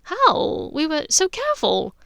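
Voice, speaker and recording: A woman voicing a warm-hearted, emotional middle-aged witch and sister in an audiobook, speaking gently. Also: high-pitched, huge pitch swings, measured pace, creaky, no echo, clean studio sound, pitched above the performer's own voice, exaggerated voice. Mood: surprised. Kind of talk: audiobook character dialogue.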